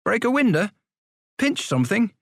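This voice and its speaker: Give me a man voicing a whimpering young pauper, pitched up high. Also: very animated, moderate pace, vocal fry, fairly bright tone, no room sound, clean studio sound, exaggerated voice. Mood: pleading. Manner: pleading, whimpering. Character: hungry, timid young male pauper.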